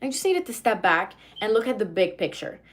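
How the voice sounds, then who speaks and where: A woman's voice: medium pitch, big pitch swings, brisk. Woman, casual livestream chat, rambling, lots of fillers, at home, answering comments. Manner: chatty, rambling, many fillers and asides. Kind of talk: casual livestream chat while doing makeup.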